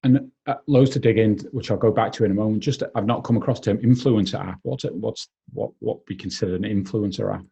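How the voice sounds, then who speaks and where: A man with a Manx English accent hosting a business podcast, measured and polite, a little hesitant when asking questions. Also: on the low side, creaky, warm tone, husky, dull audio. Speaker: podcast host.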